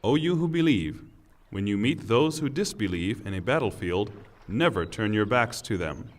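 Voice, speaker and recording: A man giving a solemn, scripted reading of scripture in a measured, declamatory manner. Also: on the low side, lively intonation. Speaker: reader of scripture translation.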